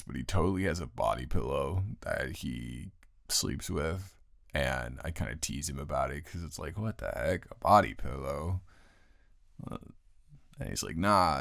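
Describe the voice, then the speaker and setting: A man playing a tired, grumpy but secretly soft roommate in an improvised intimate audio roleplay, talking straight to the listener in a halting, teasing drawl. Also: a bass voice, vocal fry, dry recording, studio quality, full character voice.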